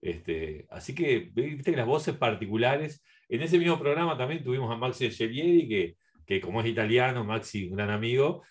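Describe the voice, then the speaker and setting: A man's voice: medium pitch, animated, moderate pace, hardly any pauses. A man with a Uruguayan accent hosting a casual radio interview, rambling his way around his questions.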